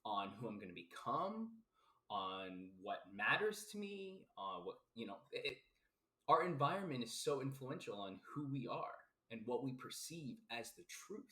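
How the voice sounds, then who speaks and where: A life coach from South Florida muses aloud in a loose, emphatic podcast monologue, circling back on his points and dropping the occasional curse. His voice is bright and forward at a middling pitch. The room around him rings with a noticeable echo. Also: sing-song, unhurried. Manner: emphatic and repetitive, casual swearing, many fillers. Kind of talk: casual philosophical podcast monologue. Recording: roomy echo.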